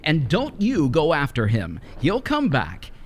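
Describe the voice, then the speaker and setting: A man's voice: a little high, huge pitch swings, unhurried, slight background noise. An actor playing an irritable, domineering older husband obsessed with his work, scolding impatiently in an old-time radio horror style. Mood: irritated.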